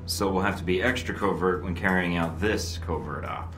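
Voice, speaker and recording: An actor playing a cocky young American undercover agent in a video game, with a dry, flippant manner. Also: male voice, fairly even intonation, moderate pace, a bit bright. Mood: wry. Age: young adult. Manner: dry, flippant, low-key. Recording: roomy sound under clear music.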